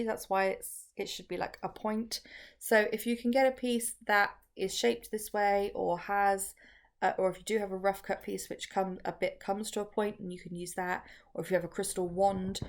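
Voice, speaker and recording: A woman teaching on camera, talking through each step in a chatty way with many restarts and ums, her voice a bit croaky. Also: medium pitch. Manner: chatty, filler-heavy explaining with frequent restarts. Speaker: crystal workshop teacher. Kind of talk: casual how-to video.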